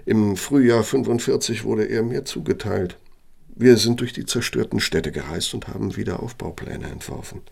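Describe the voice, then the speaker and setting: An actor in a radio play as an aging, self-justifying architect with a Nazi past, speaking deliberately and emphatically in a gravelly, husky voice. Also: male voice, on the low side, a little mellow, full character voice. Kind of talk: German radio play monologue and dialogue.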